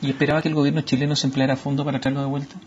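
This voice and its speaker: A man's voice: mid-range voice, little pitch movement, racing, highs cut off, a little hiss or hum. A man interviewing a guest on TV, pressing with insistent follow-up questions.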